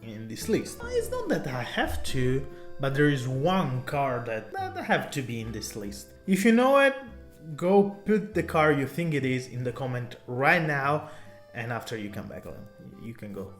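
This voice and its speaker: A man's voice: mid-range voice, wildly swooping pitch, measured pace, music in the background. Enthusiastic Italian-accented man. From Italy. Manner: enthusiastic, chatty, non-native phrasing. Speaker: car vlogger. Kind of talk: casual talk to camera.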